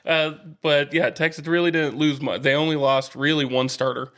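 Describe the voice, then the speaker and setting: A man's voice: fairly high pitch, little pitch movement, a little fast, a bit bright. A man co-hosting a sports podcast in casual conversation, slangy, with a dry, wry manner.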